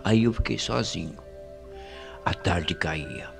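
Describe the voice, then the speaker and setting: An actor playing an old country colonel with a Brazilian accent, spinning an eerie tale with folksy suspense in a radio mystery drama. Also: male voice, low voice, lively intonation, slow pace, creaky, faint music behind, full character voice. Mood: rising suspense. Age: older.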